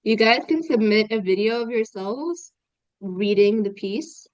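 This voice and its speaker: A woman's voice: medium pitch, measured pace, no room sound, lo-fi sound. Young woman co-host; easygoing, advice-giving chat; dry close sound. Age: young adult. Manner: relaxed, gives listeners friendly advice. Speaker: podcast co-host. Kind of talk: casual podcast chat.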